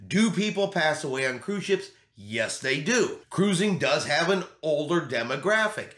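A man's voice: a little high, very animated, a little slow, fairly bright tone. A middle-aged man explaining things to camera in an emphatic way, repeating his key points as a vlog host. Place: small home studio room, indoors. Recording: roomy indoor echo.

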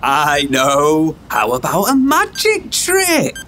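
Actor as a sly, animated elf rogue. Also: mid-range voice, slow pace, a little hiss or hum, exaggerated voice. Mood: teasing. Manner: teasing, theatrical, sarcastic. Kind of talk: audio drama acting.

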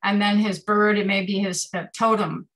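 A woman's voice: medium pitch, level tone, normal speed, a bit bright. A woman artist giving informal commentary on art slides over a video call.

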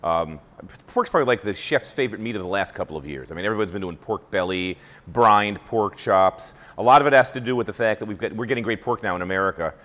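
A middle-aged man with a fairly low voice hosts a TV cooking show and talks his way through a recipe with chatty enthusiasm. He is recorded on a lapel mic in a large kitchen, where his voice trails off in a long reverb. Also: quick pace, fairly bright tone. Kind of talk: casual cooking demonstration. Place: large home-style kitchen.

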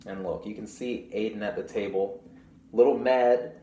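A young man reading a picture book aloud to children, pausing to explain things simply and ask questions. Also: on the low side, steady tone, dark tone. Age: young adult. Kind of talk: storytime read-aloud with explanatory asides. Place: small room at home, indoors. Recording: roomy echo with clear background noise.

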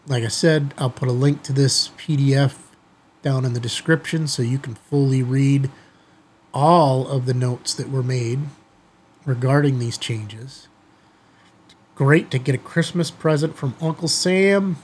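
A middle-aged American man with a slightly husky voice, talking informally and directly to viewers as a video host. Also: medium pitch, measured pace, a little mellow, a little hiss or hum. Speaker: amateur radio video host. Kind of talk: casual chatty commentary to viewers. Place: small indoor studio office, seated at desk.

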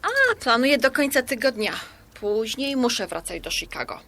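A woman's voice: fairly high pitch, big pitch swings, normal speed, sharp tone, slight background noise, full character voice. An actress playing a polite, reserved woman of about sixty in an audio drama, speaking conversationally and with some hesitation. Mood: polite, hesitant. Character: polite, reserved mother around sixty visiting from Poland.

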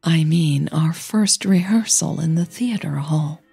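Woman acting kind, very breathy, reassuring woman. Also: sits low, measured pace, mellow, soft background music, exaggerated voice. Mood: gentle, reassuring. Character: kind, sincere woman singer, gently reassuring.

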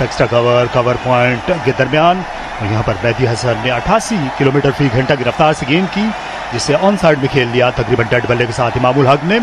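A man with a Pakistani Urdu accent calls a cricket match ball by ball for radio, in measured, formal phrasing and a mid-range voice. The broadcast carries a steady layer of noise behind him. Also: medium pitch.

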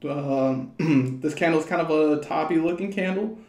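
Young man, hoarse, casual crypto chart talk. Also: fairly high pitch, normal speed, a little mellow, reverberant. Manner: loose and rambling, many fillers and restarts. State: slightly hoarse. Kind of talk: casual market commentary over charts. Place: small room at home.

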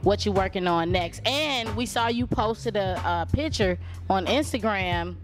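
A woman's voice: mid-range voice, animated. Woman, young adult, interviewer, friendly, encouraging, handheld mic, music behind. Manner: friendly, encouraging, quick affirmations. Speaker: interviewer, show host. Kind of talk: casual interview questions. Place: lounge room on a leather couch.

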